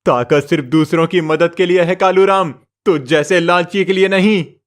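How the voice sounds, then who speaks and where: A man's voice: sits high, normal speed, natural voice. A man voicing a poor, honest village man in a cartoon moral story, burdened and despairing, speaking plaintively. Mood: accusing.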